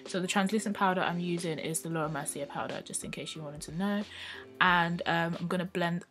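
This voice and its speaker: A woman's voice: on the low side, fairly quick, faint music behind. Chatty woman narrating a makeup tutorial. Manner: relaxed, chatty, with many ums and likes. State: croaky, just woken up. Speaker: beauty vlogger.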